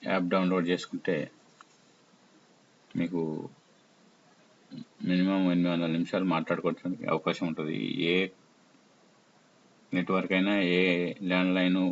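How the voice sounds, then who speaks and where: A man presenting an informal app tutorial, explaining step by step in short, halting phrases. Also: low-pitched. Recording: muffled lo-fi audio with faint noise.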